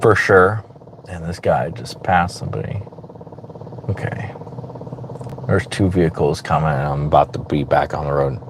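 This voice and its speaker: Low-voiced Florida man, relaxed rambling streamer chat from a moving car, heavy road noise. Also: moderate pace, pauses often. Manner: rambling, easygoing, chuckles often. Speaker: streamer searching roads for snakes. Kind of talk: casual live chat while driving, reading viewer comments. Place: inside a car on roads at night.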